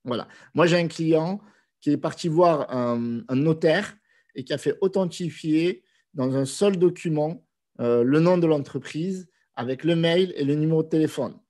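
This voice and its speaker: Man, thirties, has a cold, relaxed live Q&A, hesitant, desk mic on a video call. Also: fairly high pitch, moderate pace. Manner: relaxed, conversational, with frequent hesitations. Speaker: host answering viewer questions. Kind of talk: casual live Q&A. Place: small indoor room. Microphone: desk microphone on video call.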